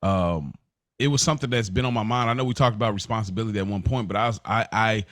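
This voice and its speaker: Casual low-voiced man hosting, dry sound. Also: quick pace, fairly bright tone, no echo. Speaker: podcast host. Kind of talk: casual podcast chat.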